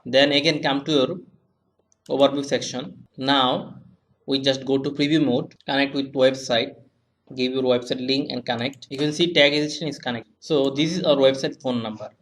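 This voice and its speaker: A young man giving a step-by-step software tutorial in halting, repetitive, simply phrased non-native English. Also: medium pitch, steady tone. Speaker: web analytics tutorial presenter.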